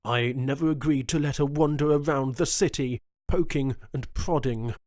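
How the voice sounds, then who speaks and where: A man voicing a calm, firm, dismissive male pirate lieutenant. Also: mid-range voice, normal speed, no echo, clean studio sound, lightly acted. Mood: cold.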